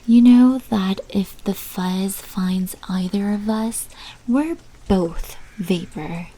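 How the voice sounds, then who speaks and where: A woman playing a sly, menacing vampire mafia manager in an ASMR roleplay, speaking in a hushed voice that often drops into whispers. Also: mid-range voice, slow, natural voice. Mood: guarded, defensive. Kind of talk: ASMR roleplay, scripted character monologue. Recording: clearly noisy background.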